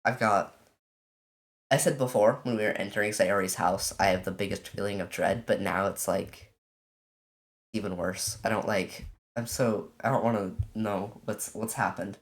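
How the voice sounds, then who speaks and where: A let's player casually commenting on a visual novel and reading its text aloud, in an informal, halting way. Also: sits low, fairly even intonation. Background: game music.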